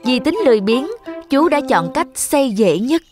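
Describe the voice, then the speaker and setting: A woman's voice: sits high, very animated, normal speed. A woman narrating a scripted children's story as a storyteller. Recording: children's music behind narration. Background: jingly children's music.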